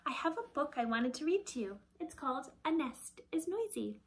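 A woman in her thirties reading aloud to children like a teacher, deliberate and expressive, with a breathy voice that often drops to a hush. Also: sits high. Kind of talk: children's picture-book read-aloud. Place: small indoor room at home with shelves.

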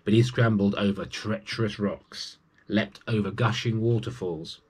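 Man, forties, low husky voice, children's storybook reading, chatty asides. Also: fairly even intonation, measured pace, darkish tone. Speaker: storybook reader for young children. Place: small room at home with bookshelves.

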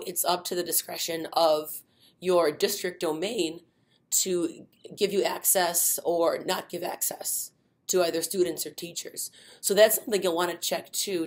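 Middle-aged woman, webinar trainer, low-ish voice, filler-heavy, relaxed explaining. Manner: many fillers, unhurried explaining. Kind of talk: informal instructional webinar.

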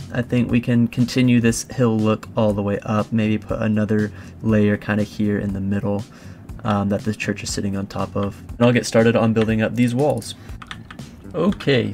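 A man with a fairly low voice gives casual gameplay commentary over music. He talks informally, thinking aloud as he explains what he is building. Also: a little mellow. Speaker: host of a gaming let's play.

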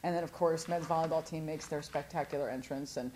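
A woman in her forties, a head volleyball coach, answering postmatch questions in an earnest, halting way with many restarts. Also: deep voice, steady tone, mellow, a little hiss or hum. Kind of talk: postmatch interview. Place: studio before a sponsor backdrop.

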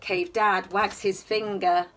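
A woman reads a picture book to young children in an animated, singsong, questioning way. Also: mid-range voice, slow, recorded from a distance. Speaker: children's bedtime story reader. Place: small attic room at home, indoors. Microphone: camera a short distance away.

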